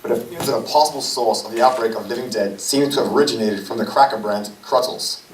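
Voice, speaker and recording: A man's voice: medium pitch, quick pace, a little hiss or hum, close to an everyday voice. A man playing a formal TV news anchor reporting an outbreak in an amateur stage play. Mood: urgent, formal. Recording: distant echoey stage recording.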